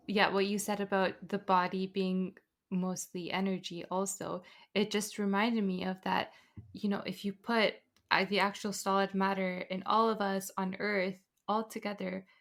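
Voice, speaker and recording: A woman co-hosts a relaxed spiritual podcast in a calm, level voice with little rise and fall. She thinks aloud in an unhurried way and pauses to find her words. Her pitch sits in a middle range. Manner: reflective, unhurried, searching for words. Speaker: podcast co-host. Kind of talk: casual spiritual chat.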